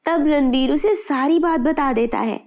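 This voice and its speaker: A woman's voice: high-pitched, unhurried, muffled recording. A woman narrating a scripted fairy tale for children. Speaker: storyteller.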